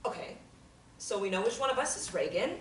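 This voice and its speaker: A mid-pitched actress's voice playing an anxious, skeptical female patient in a stage drama reading. She sounds away from the mic. The room is echoey, with faint noise. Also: expressive, fairly quick, far from the mic, lightly acted. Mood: wary, curious. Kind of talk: dramatic table reading of a play.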